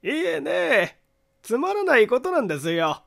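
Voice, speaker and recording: An eccentric man, acted out by a male reader in an audiobook story. His voice sits very high and swings around in pitch, with an amused, tactless air as he draws out each thought. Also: big pitch swings, creaky, fairly bright tone, heavily acted. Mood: amused, musing. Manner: chuckling to himself, drawn-out storytelling. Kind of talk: dramatized audiobook reading.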